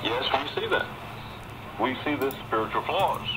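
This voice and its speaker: A man's voice: medium pitch, normal speed, background noise. A man preaching a sermon as heard on a shortwave radio broadcast.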